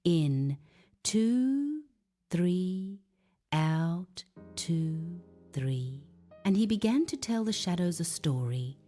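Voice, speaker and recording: A woman narrates a soothing children's bedtime story with a mellow, relaxed tone. She takes her time, letting the pitch rise and fall expressively in a gentle, hushed delivery. Music plays beneath her voice. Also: drawn-out words.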